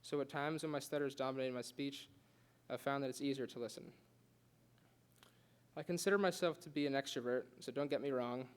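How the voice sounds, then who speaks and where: Fast-talking young man with a stutter. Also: medium pitch, fairly even intonation, smooth. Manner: earnest, with occasional stutters and restarts. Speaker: student giving a class speech. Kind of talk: prepared speech read aloud.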